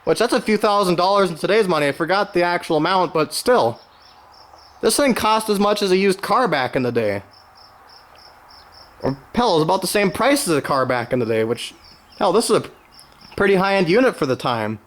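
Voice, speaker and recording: A man's voice: high-pitched, very animated, brisk, fairly bright tone. A man giving an informal, unscripted show-and-tell as a hobbyist collector, rambling along with folksy enthusiasm. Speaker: vintage radio collector presenting his own channel.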